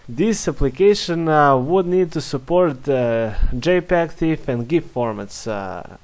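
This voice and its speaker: Slow halting Serbian-accented man, gravelly. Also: a little high, animated, a little mellow. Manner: halting, many fillers and restarts. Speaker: software developer. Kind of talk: screen-recorded software demo.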